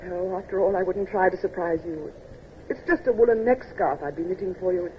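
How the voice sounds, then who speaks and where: An actress playing an elegant, devoted and anxious naval officer's wife in a radio drama, with a gentle, earnest manner. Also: female voice, lowish voice, fairly quick, lightly acted. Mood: fond, domestic. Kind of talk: old-time radio drama acting. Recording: muffled, hissy old radio recording.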